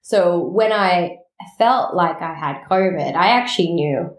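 A woman's voice: on the low side, roomy sound, poor audio. A woman hosting a casual solo podcast, talking in a chatty, rambling way while her voice is nasally and congested. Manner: chatty, rambling and animated, with quick asides. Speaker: podcast host.

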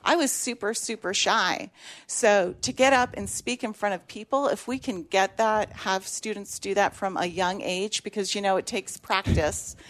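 A woman's voice: mid-range voice, normal speed. Woman principal, Greek first language, earnest radio guest with fillers. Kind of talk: radio interview answers.

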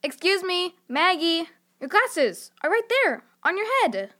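A woman's voice: very high voice, very animated, a little slow, sharp tone, exaggerated voice. An actress playing a polite, curious young girl adventurer in a children's audio drama. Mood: polite, helpful.

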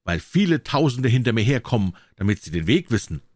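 Fearless young drummer for a storybook, voiced by a male reader: cunning, kind, very lively intonation. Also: medium pitch, a little fast, dry recording, studio quality. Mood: cocky, cunning. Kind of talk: fairy tale character voice.

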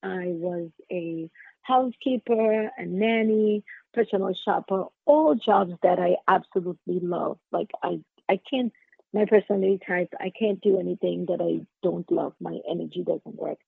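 Podcast guest: Trinidadian woman, creaky voice, conversational storyteller, thin dry phone-quality audio. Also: fairly low pitch, normal speed, no echo. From Trinidad and Tobago. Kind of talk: conversational interview answers, personal storytelling. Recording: narrow phone-like sound, dry.

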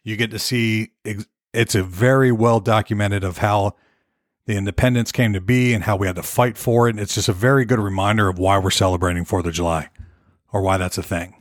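A hoarse man hosting his own podcast, chatting loosely and rambling from topic to topic. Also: on the low side, steady tone, brisk, no room sound, clean studio sound. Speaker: podcast host. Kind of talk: casual solo podcast rambling. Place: Nashville.

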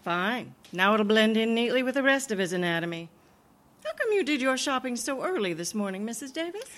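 A woman playing a sardonic high school English teacher with dry, sarcastic delivery in a radio comedy. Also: very animated, brisk, fairly bright tone.

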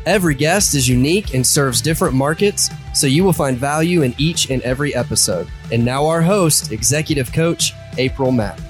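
A man reading a scripted podcast introduction in a smooth announcer style. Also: medium pitch, fairly quick, hardly any pauses. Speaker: show announcer. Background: guitar music.

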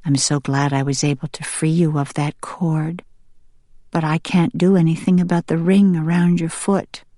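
A woman voicing a gentle, weary, tender mother bird in a soft, half-whispered audiobook voice. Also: deep voice, level tone, moderate pace, dry recording, studio quality, natural voice. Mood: tender, sad. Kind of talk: audiobook character voice.

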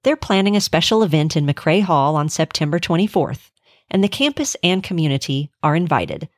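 A woman who hosts a university podcast, reading a scripted intro and interviewing a guest in a measured, friendly way. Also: low-pitched, lively intonation.

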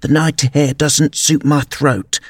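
Storybook elderly magpie lady, male reader: prim, fussy, raised pitch, dry recording. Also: flat delivery, slow, dry recording.

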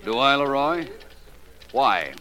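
An American man playing a confident, courteous private detective who questions with crisp, insinuating politeness in a 1940s radio drama. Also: medium pitch, big pitch swings, takes it slowly, heavy background noise. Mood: probing, wry.

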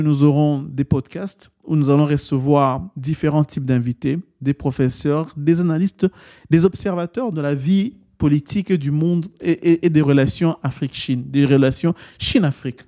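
A man's voice: a little high, dark tone. A man from the Democratic Republic of the Congo introducing his podcast as its editor, explaining earnestly, posing rhetorical questions and often restarting his phrases. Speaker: podcast host and French-language editor.

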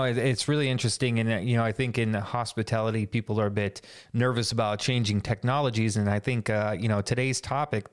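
A man hosting a podcast, giving a scripted welcome and asking long questions with "you know" between phrases. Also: lowish voice. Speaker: podcast host.

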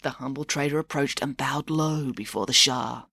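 A woman narrating a children's story in an expressive, dramatised reading style. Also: rumbling low, normal speed, vocal fry, husky, no room sound, clean studio sound.

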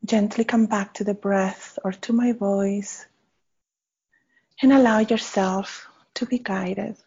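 A woman leading a guided meditation in a gentle, unhurried manner with pauses between short phrases. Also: medium pitch, fairly even intonation, breathy edge. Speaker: meditation guide, faculty member. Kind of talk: guided body scan meditation. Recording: slightly dull, muffled audio.

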